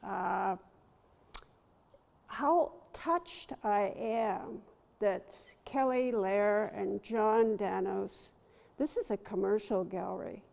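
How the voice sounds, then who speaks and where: An older woman artist from Toronto with a soft voice, telling stories slowly and with gentle humor to a live audience in a gallery. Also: mid-range voice, vocal fry. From Toronto, Canada. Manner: slow, storytelling, gently humorous. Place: gallery hall. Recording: long hall reverb.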